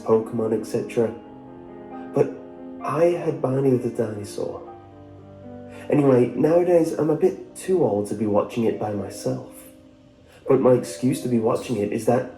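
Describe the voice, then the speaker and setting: A man narrating a scripted first-person story in a measured, wistful manner. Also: mid-range voice, mellow. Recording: roomy echo, music behind. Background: background music.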